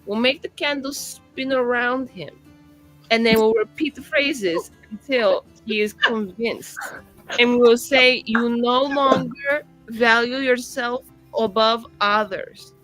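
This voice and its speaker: A woman's voice: fairly high pitch, slow, a bit bright, soft background music, rough recording. A woman chatting casually as a tabletop roleplaying player, rambling and playful as she acts out little exchanges. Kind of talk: casual in-character and table chat.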